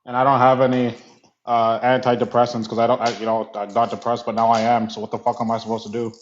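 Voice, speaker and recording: A man's voice: medium pitch, flat delivery, quick pace, muffled recording, a little hiss or hum. A Canadian man in a casual group voice chat, bantering and swearing in a joking way.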